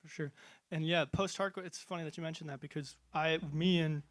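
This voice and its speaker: Teen male musician, somewhat higher voice, chatty and self-deprecating guest. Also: fairly even intonation, brisk. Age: teens. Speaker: band member guest. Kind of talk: casual interview answers.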